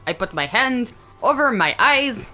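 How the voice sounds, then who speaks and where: A woman's voice: a little high, very animated, measured pace, bright, forceful tone. A woman playing a tabletop game, bantering casually with the group.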